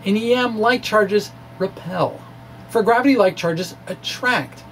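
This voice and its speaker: A man presenting a physics lecture informally, with animated emphasis and a halting delivery full of stammered restarts. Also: sits high, a little hiss or hum, rough recording. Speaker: amateur physicist presenting his own theory. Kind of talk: informal physics lecture, reading of a blog talk.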